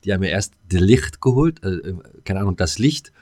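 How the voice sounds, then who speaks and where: A man's voice: low-pitched, animated, fairly quick, a little mellow, dry recording, clean studio sound. A man co-hosting a podcast in casual comedic banter, rambling, repeating his phrases and joking dryly. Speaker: podcast co-host.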